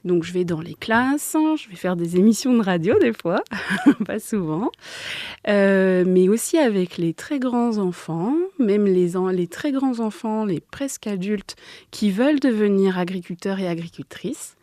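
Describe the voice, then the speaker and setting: A woman's voice: mid-range voice, expressive, unhurried, mellow, no room sound, studio quality. A woman explaining things simply and didactically as a guest in an informal radio interview. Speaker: interview guest, agricultural association organiser. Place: close, dry recording for a radio workshop.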